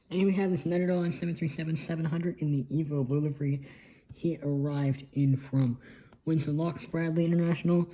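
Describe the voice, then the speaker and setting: A man's voice: medium pitch, dark tone, poor audio. A young man, a little congested from allergies, narrating his model airport in a rambling, casual way, recorded on a phone. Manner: rambling, lots of ums. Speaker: model airport hobbyist host. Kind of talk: casual hobby video narration. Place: indoors at a model airport setup. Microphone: phone on a tripod.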